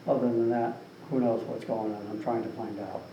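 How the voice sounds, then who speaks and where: A man's voice: medium pitch, flat delivery, brisk, muffled recording. A man speaking casually as an author at a meeting, rambling with lots of "you know". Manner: rambling, filler-heavy, with frequent "you know". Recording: distant mic in an echoey room with some noise.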